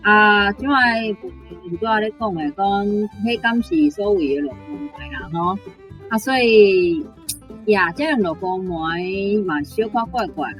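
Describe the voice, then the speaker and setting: A woman's voice: mid-range voice, animated, a little slow, fairly bright tone, faint music behind. A woman with a Taiwanese accent commenting as a language teacher, explaining things in a hesitant, think-aloud way. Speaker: Taiwanese Hokkien language teacher giving critique. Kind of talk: spoken commentary on word choice and grammar.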